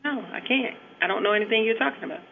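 Exasperated high-voiced man on a phone line. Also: fast, gravelly, a little hiss or hum. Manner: firm, exasperated, insistent. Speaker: hotel front desk operator. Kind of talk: phone customer service. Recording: tinny narrow phone line.

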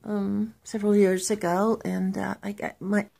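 A woman's voice: medium pitch, level tone, measured pace, vocal fry, warm tone. A woman in her sixties from Texas, an actress and screenwriter, recounting her life matter-of-factly to a close webcam at home. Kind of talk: candid documentary testimony.

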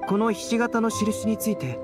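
Composed, thoughtful young scholar. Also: male voice, high voice, animated, normal speed. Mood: pensive. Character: calm, cerebral young male scholar. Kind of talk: Japanese anime game voice acting. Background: background game music.

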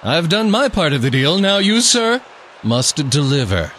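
A man's voice: fairly high pitch, very animated, measured pace, fairly bright tone, a little hiss or hum. A man playing a pompous, gloating vampire cult leader in a melodramatic horror-comedy radio play. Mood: smug command. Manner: theatrical, commanding, pompous.

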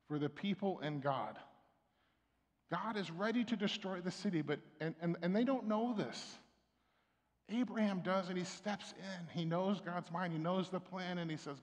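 Middle-aged man, pastor at the pulpit, conversational preacher, lots of restarts, recorded with long church reverb. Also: on the high side. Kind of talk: sermon. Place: church sanctuary. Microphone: stand mic at pulpit, far camera.

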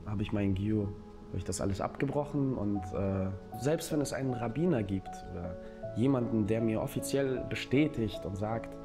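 Interviewee: male, slightly deep, thoughtful and halting, with clear background music. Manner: halting, searching for words. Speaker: interviewee, actor. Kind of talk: reflective personal testimony.

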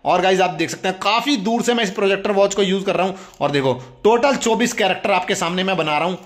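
A young man with a high voice talks casually to viewers as a toy review host. His delivery is enthusiastic and full of exclamations, and he tends to repeat his points as he demonstrates. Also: quick pace.

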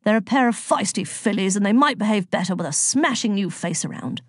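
A woman's voice: mid-range voice, animated, a little fast, no echo, clean studio sound, natural voice. A woman voicing a jovial, bluff old-fashioned male god with a hearty, roaring, pompous manner in a children's storybook.

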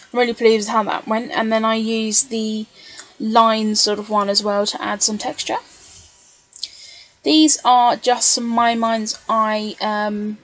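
Chatty woman with a higher-pitched voice. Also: fairly bright tone, poor audio. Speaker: scrapbooker narrating craft video. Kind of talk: casual craft tutorial voice-over.

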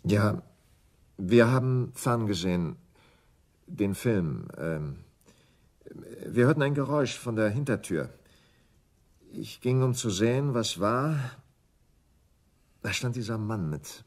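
A male actor playing a shaken, grieving widower in a German radio crime play, speaking haltingly. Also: lowish voice, sing-song, a little mellow, full character voice.

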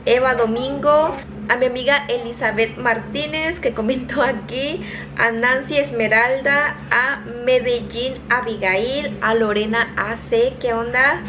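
A woman's voice: on the high side, fairly even intonation, background noise. Chatty Mexican woman vlogging on a phone. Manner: chatty, reading names quickly, laughing at herself. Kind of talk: casual shout-outs to viewers. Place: home kitchen, indoors. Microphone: phone held at arm's length.

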